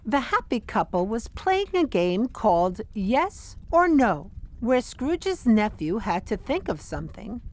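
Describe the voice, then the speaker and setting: A woman narrating an audio drama as a storyteller, with measured, deliberate delivery. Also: medium pitch.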